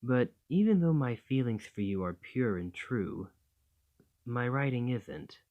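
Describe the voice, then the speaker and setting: A man voicing a gentle, bashful dragon in a romantic ASMR roleplay, tender and hesitant, doting on a partner. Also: mid-range voice, very animated, unhurried, phone-quality sound. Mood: flustered, embarrassed. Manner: flustered, hesitant, tender, with sighs and stammers.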